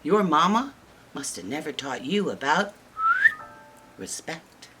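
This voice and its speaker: A woman's voice: sits low, big pitch swings, slow, heavy background noise, full character voice. A woman voicing a disapproving mother bear in a fairy tale, scolding in an emphatic, reproving way.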